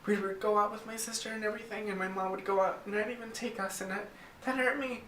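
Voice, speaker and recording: A young man from the US South with an American accent, talking informally and a little haltingly about himself in a candid documentary interview. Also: sits high, steady tone, a bit bright. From US South, Virginia and North Carolina. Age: young adult. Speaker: interviewee, model. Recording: distant roomy mic, faint noise.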